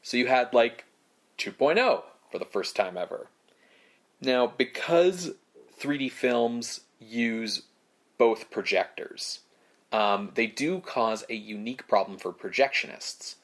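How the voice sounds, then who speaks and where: A man presents film history as a podcast co-host. His pitch sits in the middle range for a male voice. He explains things in measured, short phrases, reading prepared notes and slipping in casual asides. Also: lively intonation.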